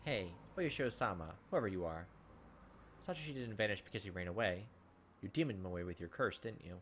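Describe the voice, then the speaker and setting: A man's voice: fairly low pitch, lively intonation, brisk, a bit bright, slight background noise. A man reading visual novel text aloud in a theatrical, emotive way as a gaming video host. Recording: background music under the voice. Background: game music.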